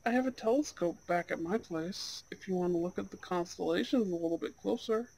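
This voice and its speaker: A performer voicing a charming, soft-spoken young Goth gentleman on a blind date, in an ASMR roleplay with gentle, courteous, slightly old-fashioned phrasing. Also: medium pitch, a little fast, creaky, a little hiss or hum, lightly acted, low-quality recording. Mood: admiring, inviting. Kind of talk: ASMR roleplay, speaking to an unheard listener.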